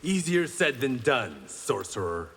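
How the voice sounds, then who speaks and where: A man's voice: mid-range voice, big pitch swings, a little slow, creaky, a bit bright, husky, long reverb tail, full character voice. An actor playing a stoic blind swordsman hero in a video game, with dry, confident wit. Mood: defiant.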